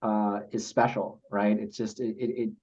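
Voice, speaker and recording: A man speaking as a podcast guest, telling personal stories in a halting, reflective and earnest way. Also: lowish voice, level tone, a little mellow, husky. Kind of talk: casual interview storytelling. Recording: slightly dull lo-fi audio.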